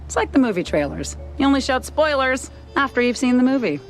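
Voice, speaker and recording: An actress playing a savvy, teasing mouse informant in a noir video game, speaking in a wry, knowing way. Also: female voice, high voice, big pitch swings, a little fast, faint music behind, rough recording.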